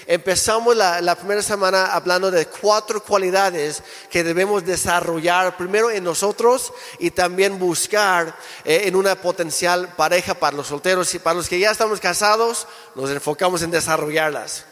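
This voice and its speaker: A man from Canada, a native English speaker, preaching a relaxed sermon in Spanish with jokes and restarts. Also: high voice, moderate pace, few pauses. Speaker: pastor. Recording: echoey hall with long reverb.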